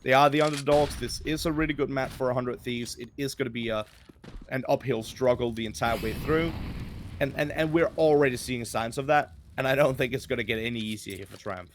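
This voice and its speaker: A man's voice: medium pitch, a little fast, noisy background. A man giving color commentary on an esports match, analyzing plays and often repeating words as he restarts.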